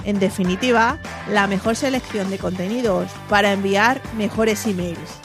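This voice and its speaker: A woman's voice: medium pitch, moderate pace, music in the background. Woman podcast host from a Spanish show, Spain accent. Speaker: podcast host interviewing a guest. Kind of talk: scripted podcast introduction and friendly interview.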